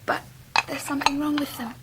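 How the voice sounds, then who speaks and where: Woman playing pouty young victorian wife, really high childlike english voice, radio play. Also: level tone, fairly quick, very noisy, close to an everyday voice. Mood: timid, sheepish. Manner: girlish, pouting, easily wounded. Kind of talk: 1990s radio drama acting.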